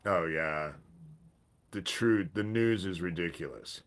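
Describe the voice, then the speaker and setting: A man hosting a casual livestream from his back porch, with a deep voice and a stuffy head cold, rambling with pauses and restarts. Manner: rambling, pauses, self-interrupting. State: congested from a cold. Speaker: livestream host.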